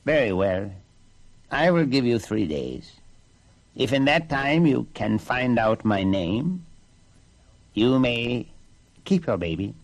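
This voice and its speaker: A man voicing a strange little man who is sly and impish, speaking in a slow, drawn-out way. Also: medium pitch, very animated, voice pushed up, heavily acted.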